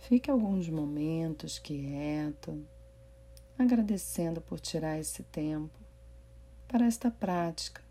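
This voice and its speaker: A woman with a Brazilian accent guiding a meditation in a gentle, unhurried manner with pauses. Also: low-pitched, slightly rough. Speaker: meditation guide. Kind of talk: guided body scan meditation.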